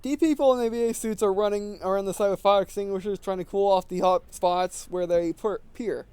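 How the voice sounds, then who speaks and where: A man's voice: high-pitched, fairly even intonation, brisk, a little mellow, clear, resonant tone, no echo. A man hosting a gaming video, reading visual novel text aloud with casual commentary and often stumbling and rereading phrases.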